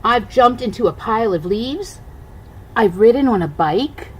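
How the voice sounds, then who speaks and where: An older woman, a grandmother, reading a rhyming children's storybook aloud in an expressive, singsong way. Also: a little high, measured pace, slightly rough, low-quality recording.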